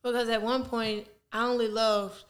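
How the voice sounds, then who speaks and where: A woman's voice: on the high side, level tone, moderate pace. A young adult woman co-hosting a casual podcast chat, frank and reflective, laughing often. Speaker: podcast co-host.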